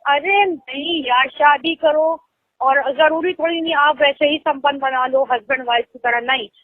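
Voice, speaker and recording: A woman's voice: sits high, moderate pace, dry recording. A young woman with a Lucknow Hindi accent, chatting casually on a phone call and explaining things at length. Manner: explains at length, laughs easily. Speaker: phone caller. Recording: tinny phone call recording.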